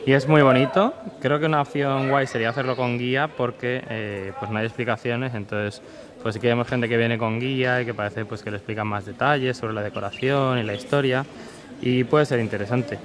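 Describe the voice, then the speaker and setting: A man's voice: medium pitch, fairly even intonation, fairly quick, a crowd in the background. A man with a Spain accent giving informal, conversational travel vlog commentary. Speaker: travel vlogger. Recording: music behind the voice. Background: music.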